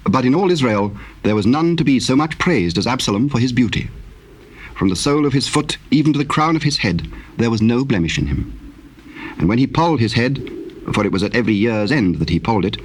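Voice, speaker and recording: A man with a husky voice narrating scripture in a solemn, formal storytelling style with archaic language. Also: medium pitch, animated, brisk, slight background noise.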